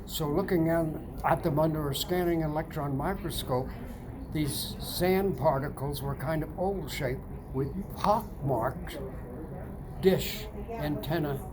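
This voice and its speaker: A man in his ninetieth year, talking casually from inside a sauna, halting with many fillers and restarts. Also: a little high, background noise. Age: older. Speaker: sauna customer. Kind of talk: casual testimonial chat. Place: indoors, seated in a sauna.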